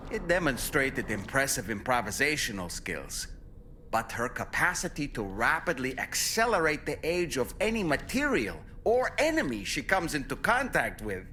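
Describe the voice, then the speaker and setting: A man playing an earnest, caring crew ally who speaks with expressive admiration in a video game cutscene. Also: medium pitch, slight background noise. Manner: warmly praising, expressive.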